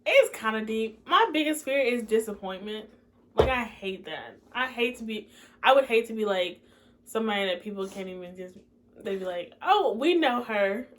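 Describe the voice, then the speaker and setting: A soft-spoken young woman with a fairly high voice shares her thoughts slowly, with pauses and restarts. She speaks in a relaxed chat with a friend. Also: slight background noise. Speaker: co-host. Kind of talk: casual chat while eating.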